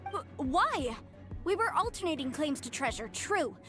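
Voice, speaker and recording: A woman voicing a devout, excitable young woman in a video game, earnest and easily flustered. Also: sits high, measured pace, full character voice. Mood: pleading. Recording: game cutscene with faint music behind.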